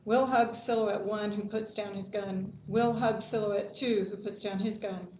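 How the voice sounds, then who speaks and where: A woman's voice: mid-range voice, steady tone, a little slow, a little mellow. A woman reading out stage directions as scripted narration at a screenplay table read. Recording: echoey, dull, faintly noisy room recording.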